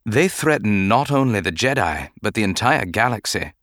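A man voicing a composed, guarded Jedi master in a dramatic audiobook, speaking in a measured, formal manner. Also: on the low side, expressive, fairly bright tone, no echo, studio quality. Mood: grave, composed. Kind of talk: dramatic audiobook character acting.

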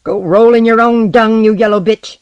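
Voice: medium pitch, steady tone, normal speed, smooth, dry recording, dull audio, voice pushed up, close to an everyday voice. A narrator voicing an arrogant, exasperated man who spits out contempt in a story reading. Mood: shouting fury. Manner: contemptuous, shouting outbursts. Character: high-voiced, venomous, exasperated man, an arrogant outcast space surveyor.

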